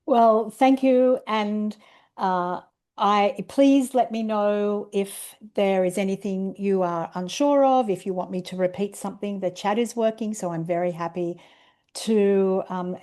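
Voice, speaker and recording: A woman with an Australian accent giving an online presentation over a video call, explaining ideas step by step in a measured way. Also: mid-range voice, fairly even intonation, warm tone. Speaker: webinar presenter.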